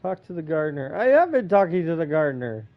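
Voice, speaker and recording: High-pitched man doing casual gameplay commentary. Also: big pitch swings, fast talker, lo-fi sound. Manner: informal, reacting aloud to the game. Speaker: game streamer, player.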